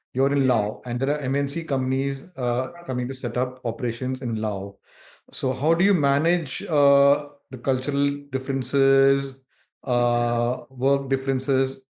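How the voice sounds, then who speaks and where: A man's voice: mid-range voice, darkish tone. Male host asking hesitant questions. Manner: hesitant, many fillers between phrases. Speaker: interview host.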